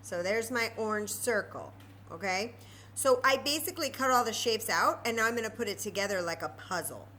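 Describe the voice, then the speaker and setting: Bright-voiced woman hosting children's story time. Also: medium pitch, lively intonation, fairly quick, a little hiss or hum. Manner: simple step-by-step explaining to children. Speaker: library story time host.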